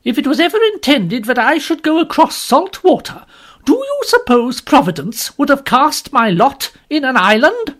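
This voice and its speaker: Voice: very animated, normal speed, vocal fry, hardly any pauses, husky, heavily acted. A reader voicing a fierce, strong, red-haired woman who bawls and scolds indignantly. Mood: indignant scolding. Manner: shrill indignant bawling. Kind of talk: audiobook character voice.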